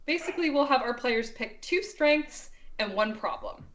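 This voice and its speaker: A woman speaking casually as a game master, chatty and quick to laugh as she guides her players. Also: high-pitched, lively intonation, a bit bright. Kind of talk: casual improvised game hosting.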